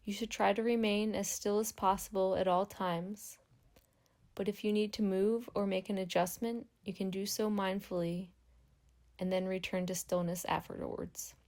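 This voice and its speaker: A woman guiding a meditation as a yoga nidra instructor, speaking calmly and gently with long pauses. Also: medium pitch. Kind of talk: guided meditation.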